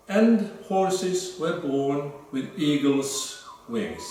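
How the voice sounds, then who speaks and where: A man recites narrative verse at a crawl, in a mid-range voice. He sounds well away from the microphone in a heavily reverberant space, with music behind him. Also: medium pitch. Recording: distant, very echoey, music behind.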